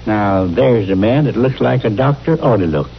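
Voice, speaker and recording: A man's voice: lowish voice, lively intonation, normal speed. A man playing a fussy, eager-to-please American hotel clerk in a radio western, talking in a flustered, rambling folksy way. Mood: obliging, chatty. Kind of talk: old-time radio acting. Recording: muffled old radio with hum.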